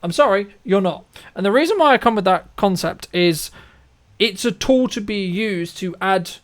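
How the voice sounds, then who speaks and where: High-pitched man giving emphatic opinions. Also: lively intonation, moderate pace, a bit bright. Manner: emphatic, repeats phrases for emphasis. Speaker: podcast host and filmmaker. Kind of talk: opinionated interview chat.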